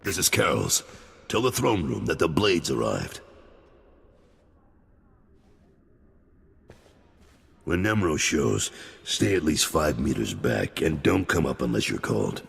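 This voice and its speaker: A man's voice: a bass voice, sing-song, moderate pace, vocal fry, slightly rough, big-room sound, heavily acted. A man voicing a blunt, wary middle-aged crime lieutenant in a video game cut-scene. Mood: cautioning. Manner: blunt, warning.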